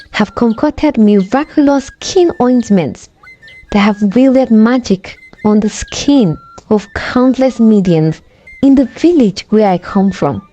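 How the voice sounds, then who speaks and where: A woman's voice: fairly high pitch, mellow, highs cut off. A woman voicing an earnest young village healer in a folktale, speaking in a declamatory way.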